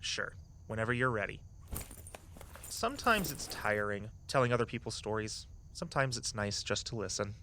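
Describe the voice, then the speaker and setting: A man playing an easygoing, loyal young tour guide friend in an audio drama, talking in a casual, chatty, gently earnest way. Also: lowish voice, lively intonation, normal speed, slight background noise. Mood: wistful. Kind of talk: naturalistic audio drama dialogue.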